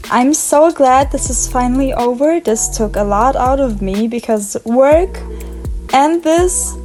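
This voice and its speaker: A woman's voice: high-pitched, warm tone. A young woman with a German accent, chatting casually in a bubbly, rambling voice-over about her drawings. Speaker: art vlogger.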